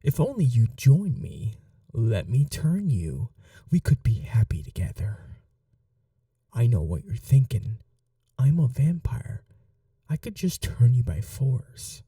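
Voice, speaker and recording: A woman playing a possessive, wounded vampire mistress in an intimate ASMR roleplay, speaking softly and breathily, often in whispers, with slow, tender coaxing. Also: a bass voice, big pitch swings, no echo, clean studio sound, recorded from a distance, heavily acted. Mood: longing, tender.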